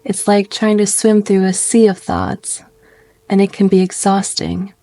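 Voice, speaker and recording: A woman guiding a meditation in a gentle, soothing way, speaking in slow phrases with pauses. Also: mid-range voice, flat delivery, dry recording. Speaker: meditation guide. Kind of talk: guided meditation narration.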